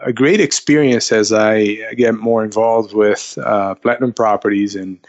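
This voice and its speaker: Slightly deep, halting man on dull audio. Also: fairly even intonation. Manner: halting, repeats words as he goes. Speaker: investment counselor, podcast guest. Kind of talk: conversational interview answers.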